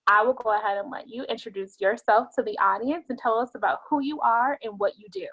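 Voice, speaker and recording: A woman's voice: fairly high pitch, quick pace, fairly bright tone, slightly rough, muffled recording. A woman hosting a webinar over a video call, formally thanking and introducing the guest. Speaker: webinar host.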